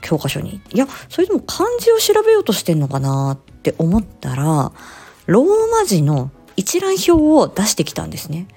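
A woman speech therapist telling stories in a casual, expressive solo chat, acting out short quotes as she explains. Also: fairly low pitch, moderate pace, creaky, breathy edge, faint music behind. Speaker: speech-language therapist hosting an audio diary.

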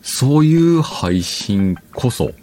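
A man's voice: medium pitch, big pitch swings, slow, dark tone, faint music behind. A man hosting a casual online radio show, chatting colloquially and addressing listeners directly. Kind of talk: casual solo talk and advice to streamers.

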